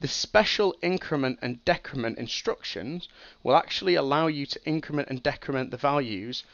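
A man's voice: medium pitch, normal speed, creaky, fairly bright tone, no room sound, highs cut off. A young man with a cold, sniffly as he explains a processor design on a casual live stream, thinking aloud with ums and restarts. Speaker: streamer teaching game programming.

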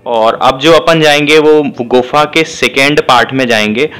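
Smooth-voiced man guiding a vlog tour. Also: mid-range voice, normal speed, a bit bright. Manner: addresses viewers as friends, guiding a temple tour. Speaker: travel vlogger. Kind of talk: casual vlog narration. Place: temple complex.

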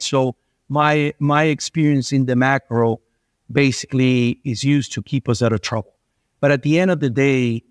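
A man's voice: medium pitch, smooth, no room sound. Venezuelan-born man, dry close sound. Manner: thoughtful, many restarts and repeated words. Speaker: guest investor. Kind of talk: conversational podcast interview.

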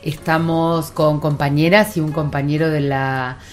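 A woman's voice: deep voice, steady tone, a little slow, a little mellow. A woman with an Argentine accent hosting an informal radio interview. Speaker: radio host introducing guests. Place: radio studio.

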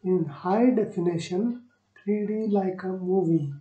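A woman guiding a meditation in short, soothing phrases with long pauses between them. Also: medium pitch, mellow, muffled recording. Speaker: meditation guide. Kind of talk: guided meditation narration. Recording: roomy echo on the voice.

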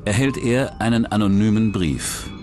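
A man's voice: fairly low pitch, normal speed. A man delivering scripted true-crime narration as the narrator of a documentary. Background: music.

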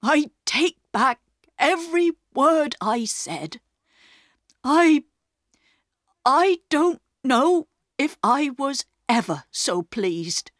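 Woman acting a proud working-class father, slow and emphatic. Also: high-pitched, very animated, a bit bright, dry recording, studio quality, pitched above the performer's own voice, heavily acted. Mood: moved, grateful. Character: proud, gruff working-class father, poor but honest. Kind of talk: storybook character reading.

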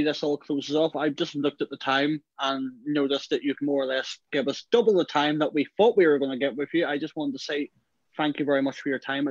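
A Northern Irish man speaks in a high voice, chatty and blunt. The sound is dry and dull, with the muffled quality of video call audio. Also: no echo. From Belfast. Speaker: call participant.

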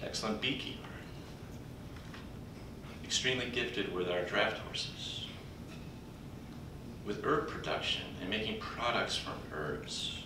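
An older man speaking at a podium in a large conference hall, introducing a colleague in a folksy, halting way with pauses. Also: animated. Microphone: podium mic, recorded from afar by a computer. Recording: distant echoey hall recording with background rumble.

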